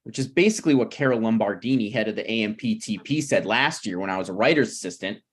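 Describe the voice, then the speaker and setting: A man's voice: fairly low pitch, expressive, fast, breathy edge. A man in his thirties at a script table read, playing a needy, prickly struggling screenwriter who talks in a pressing, conversational way. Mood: aggrieved. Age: 30s.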